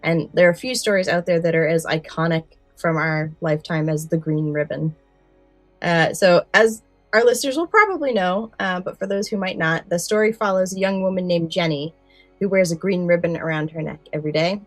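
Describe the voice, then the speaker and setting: Female podcast co-host, slightly low voice, conversational delivery, dry close recording, faint music behind. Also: a little fast, no echo. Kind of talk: casual podcast chat with some prepared narration.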